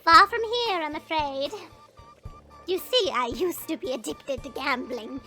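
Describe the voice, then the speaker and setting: A sly little goblin witch in a fantasy audio drama. The voice sits very high and swoops around with theatrical coyness, taking its time over words. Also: big pitch swings, pushed and bright, faint music behind, exaggerated voice. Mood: rueful, grumbling. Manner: theatrical, drawn-out, coy delivery. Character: sly, theatrical female goblin witch, weepy when slighted. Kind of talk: fantasy audio roleplay acting.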